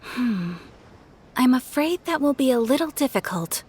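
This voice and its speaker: A woman playing a composed, polite guild receptionist in a video game, speaking in a formal, measured, reassuring way. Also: sits high, expressive, a little mellow, husky, exaggerated voice.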